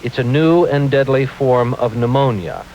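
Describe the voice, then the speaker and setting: A man's voice: medium pitch, normal speed, a little mellow. A man with an American accent delivering a scripted news report as a radio reporter. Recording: dull hissy 1970s AM radio aircheck.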